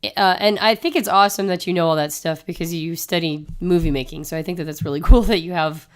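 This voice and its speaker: A woman co-hosting a podcast in casual chat, her voice hoarse and smoky after being sick, laughing often. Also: fairly low pitch, fast talker. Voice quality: husky, smoky. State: hoarse, voice recovering from illness. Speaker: podcast co-host.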